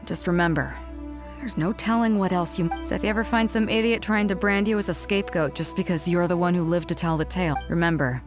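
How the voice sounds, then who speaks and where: A woman's voice: fairly low pitch, fast, natural voice. A woman voicing a bold, proud female ship captain in a video game, confident and teasing, with a theatrical delivery. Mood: earnest, rousing. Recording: music under the voice. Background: background music.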